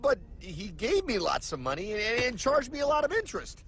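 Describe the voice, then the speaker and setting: Puzzled cartoon everyman, extremely high, worried and naive, with background sound. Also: wildly swooping pitch, fairly quick, fairly bright tone, heavily acted.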